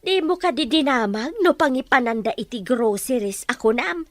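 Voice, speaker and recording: A woman playing an inquisitive, expressive character in an audio drama, speaking with an Ilocano accent and a questioning manner. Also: fairly high pitch, moderate pace, no echo, lightly acted. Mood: doubtful, gossipy. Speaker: drama performer.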